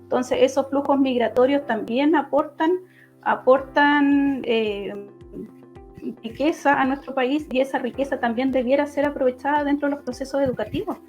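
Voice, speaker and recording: A woman with a Chilean accent giving explanatory answers in an online debate, halting and often restarting her phrases. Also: high voice, darkish tone. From Los Ríos region, southern Chile. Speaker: political candidate and law lecturer. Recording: dull lo-fi online audio, music behind.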